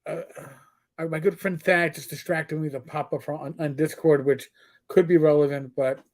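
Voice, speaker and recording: A man's voice: fairly high pitch, fairly even intonation, moderate pace, darkish tone. Rambling middle-aged New York man. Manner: rambling, stop-start with many fillers and restarts. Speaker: podcast co-host. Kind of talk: casual livestream chat.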